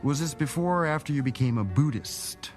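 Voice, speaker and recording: A man playing a composed, probing city councilman with hidden schemes, in a measured radio drama performance. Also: mid-range voice, expressive, faint music behind, lightly acted. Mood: reflective, sly.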